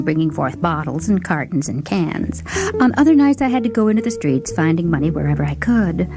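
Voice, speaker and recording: A woman performing a short story as an obese, food-obsessed young woman and devoted radio fan, confiding and savoring her words with dramatic pauses. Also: on the low side. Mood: complacent, confiding. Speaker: story reader. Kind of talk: dramatic first-person short story reading. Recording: music bed under the voice. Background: instrumental music with plucked guitar.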